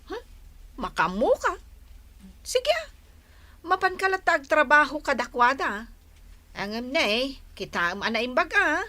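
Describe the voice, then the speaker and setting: Excitable, high, bright village woman. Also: measured pace, pauses often, heavily acted. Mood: resentful, scornful. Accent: Ilocano. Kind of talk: Ilocano radio drama acting.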